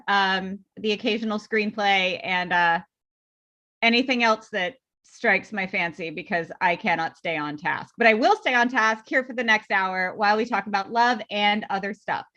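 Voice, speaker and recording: A woman's voice: on the high side, pushed and bright. A woman from the US moderating a panel over a video call in a chatty, upbeat way. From United States. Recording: slightly dull lo-fi audio.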